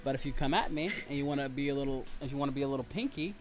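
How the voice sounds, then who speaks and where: A man's voice: medium pitch, rapid-fire, soft background music. A man hosting a livestream in casual banter, talking in a blunt, teasing, cocky manner. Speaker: livestream host and voice actor.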